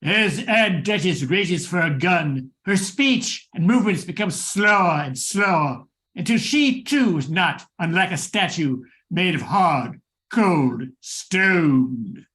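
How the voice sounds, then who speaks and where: A man narrates a radio thriller as its host. His voice is bright, forceful and a little high. He reads the script slowly, with theatrical drama. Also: expressive.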